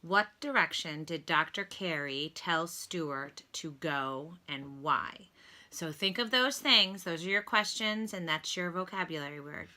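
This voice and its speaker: A woman reading a children's storybook aloud like a teacher, with deliberate, instructive delivery. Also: lowish voice, expressive, bright, forceful tone. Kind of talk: read-aloud storybook narration with lesson prompts.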